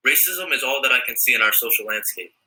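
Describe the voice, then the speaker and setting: A teenage boy reciting a spoken word poem in a steady, declamatory way. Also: mid-range voice, quick pace. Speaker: student poet. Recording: echoey room.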